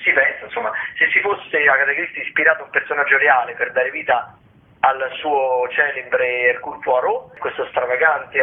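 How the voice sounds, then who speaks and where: A man hosting radio theatre listings in an informal, improvised way, rambling and hesitant, often correcting himself. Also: medium pitch. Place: radio broadcast. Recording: tinny, narrow-band radio or phone sound.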